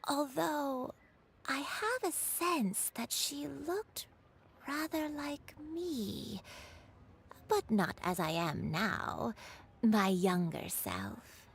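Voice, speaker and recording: Elderly grandmother, game character, gentle, forgetful, lilting high-ish voice, unhurried. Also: expressive, gravelly, slight background noise, full character voice. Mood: musing. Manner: slow, wandering, absent-minded. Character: gentle forgetful old grandmother, dreamy and kindly. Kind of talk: video game voice acting.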